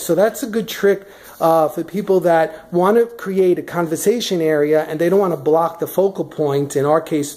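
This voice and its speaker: A woman's voice: on the low side, mellow. A woman giving a casual walk-through of her house, chatty and full of fillers as she walks room to room behind a handheld camera. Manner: chatty, many fillers and restarts. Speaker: vlogger giving a house tour. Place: in a large furnished house, walking room to room. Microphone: handheld camera, speaker off screen.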